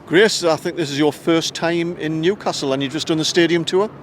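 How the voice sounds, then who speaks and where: A man's voice: on the high side, a little fast. Man hosting outdoors, traffic behind. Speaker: host interviewing fans. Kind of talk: outdoor vlog presenting. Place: outdoors near a stadium on match day. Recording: street noise behind.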